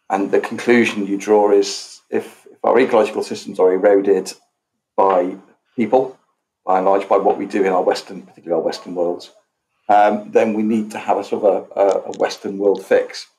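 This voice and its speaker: Fifties guy on a video call panel, low-ish voice, keeps stopping and restarting. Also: a little mellow, poor audio. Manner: hesitant, lots of restarts and ums. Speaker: panel guest, business founder. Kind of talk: casual panel conversation.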